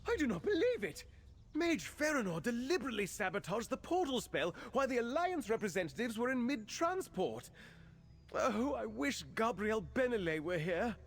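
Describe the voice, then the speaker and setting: A man's voice: very high voice, big pitch swings, moderate pace, full character voice. An actor playing an anxious, fretful male mage's assistant in a video game, speaking earnestly. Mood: alarmed. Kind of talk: scripted game character dialogue.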